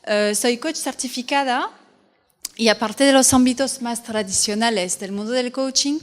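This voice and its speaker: A woman's voice: a little high, moderate pace. A woman from France with a French accent, speaking Spanish as a non-native and giving an earnest conference talk as a fertility coach. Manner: earnest, explanatory, with frequent restarts. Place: hall at a fertility fair. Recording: hall reverb.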